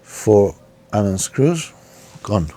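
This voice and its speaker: A man's voice: lowish voice, big pitch swings, extremely slow. A man giving an informal, unscripted step-by-step repair demonstration, talking hesitantly as he works with his hands. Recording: clear background noise, handling clicks.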